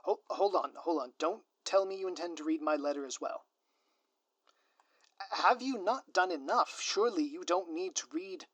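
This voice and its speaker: A close, very dry recording of a man playing a theatrical male supervillain in an audio roleplay. His voice sits a little high for a man. He talks straight to the listener in florid, formal phrases, as a schemer who is secretly a doting, lovestruck spouse. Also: normal speed, fairly bright tone, no echo. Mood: flustered indignant. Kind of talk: scripted ASMR roleplay, direct address to listener.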